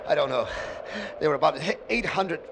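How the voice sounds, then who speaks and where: An actor playing an exhausted, shaken geologist struggling to speak in a 1950s radio drama. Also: male voice, a little high, lively intonation, normal speed. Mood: exhausted dread. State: weak, spent, struggling to speak. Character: exhausted, shaken male geologist back from the mountain. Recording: noisy old radio recording.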